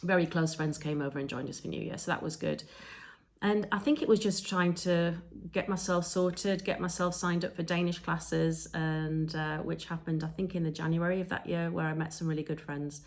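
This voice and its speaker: A woman in her fifties from England, telling her story in a relaxed, chatty podcast conversation with frequent ums. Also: lowish voice, moderate pace. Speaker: podcast co-host.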